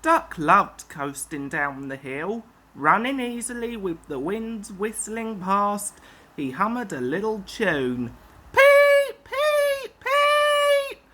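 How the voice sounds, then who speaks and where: Slow, bright storybook narrator woman. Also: mid-range voice, a little hiss or hum. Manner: slow, expressive. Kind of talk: children's story reading.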